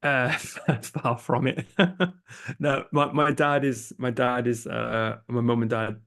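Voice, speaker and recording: A man's voice: medium pitch, steady tone, slow, creaky, a bit bright. A young man with an English accent, a podcast producer chatting as a guest in a casual interview, hesitant, with many fillers and restarts. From England.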